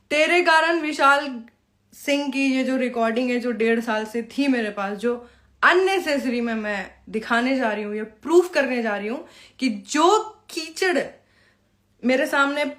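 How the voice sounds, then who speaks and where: A woman's voice: sits high, fairly bright tone. A woman delivering a confrontational rant straight at an opponent, scolding and taunting with pointed rhetorical questions. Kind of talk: angry direct-address rant to an opponent.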